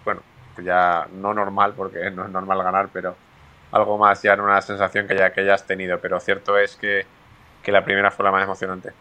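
A man from Spain speaks low and fairly flat, pausing often with fillers as he answers interview questions. His tone is bright and forward. He sounds like a golfer talking about his career. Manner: hesitant, many fillers and restarts. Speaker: professional golfer guest.

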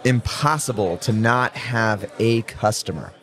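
A man's voice: on the low side, measured pace, fairly bright tone. A man hosting a podcast, chatting casually and agreeably with a guest in an affirming manner. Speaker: podcast host. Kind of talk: casual interview chat.

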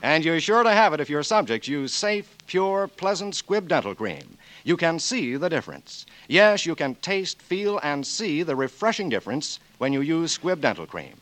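A man's voice: fairly high pitch, very animated, normal speed, hardly any pauses, fairly bright tone. A male announcer delivering scripted 1940s radio commercials in a polished, emphatic salesman's manner.

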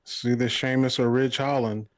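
A man chatting casually as a podcast co-host, swearing freely and laughing between quick bursts of talk. His voice sits at an ordinary middle pitch. The sound is dry, with no room echo. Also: flat delivery. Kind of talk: casual chat.

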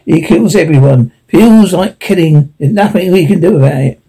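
Man voicing a bitter storybook crow, gravelly and dark, grave delivery. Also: on the high side, animated, normal speed, full character voice, low-quality recording. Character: bitter, gloomy old crow.

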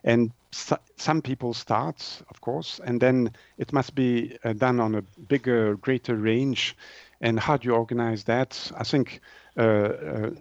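A man from Switzerland, a native French speaker, explaining things as an interview guest in halting English, searching for words. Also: medium pitch, steady tone, gravelly, no room sound, muffled recording. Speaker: naturopath and author, interview guest.

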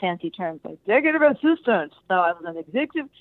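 A middle-aged woman tells stories in a relaxed interview, animated and often breaking into laughter. Her voice sits at a middle pitch. The audio is thin and phone-like, with a dry, close sound. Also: fast, no echo. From Japan and America. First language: Japanese. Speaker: interview guest, musician and actress. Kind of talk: casual storytelling interview.

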